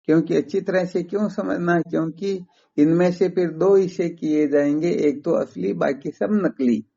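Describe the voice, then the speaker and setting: Male spiritual teacher, slightly high register, didactic and question-led, on a muffled recorded phone call. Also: steady tone, normal speed, creaky, warm tone, breathy edge. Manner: didactic, explains step by step with rhetorical questions.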